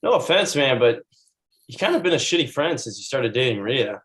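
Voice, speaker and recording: A man's voice: medium pitch, sing-song, brisk, fairly bright tone. A man playing a blunt, aggrieved buddy in his twenties in a comedy script table read.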